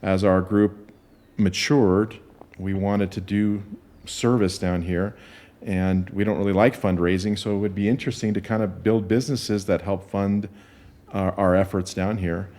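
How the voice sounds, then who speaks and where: A man in his fifties, a guest on a podcast, explaining things in an unhurried way and thinking aloud as he goes. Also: fairly low pitch, a little mellow. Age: 50s. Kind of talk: podcast interview.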